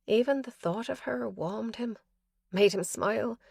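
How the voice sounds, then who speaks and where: A woman narrating a mystery thriller audiobook in a measured, tense storytelling manner. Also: fairly high pitch, vocal fry, darkish tone, breathy edge, no echo, studio quality.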